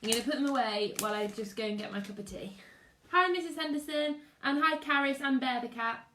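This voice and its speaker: A woman's voice: high voice, brisk, a bit bright, slight background noise. A British woman, a primary school teacher, chatting casually on a livestream and greeting viewers in a chirpy, sing-song way. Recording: roomy, echoey room sound.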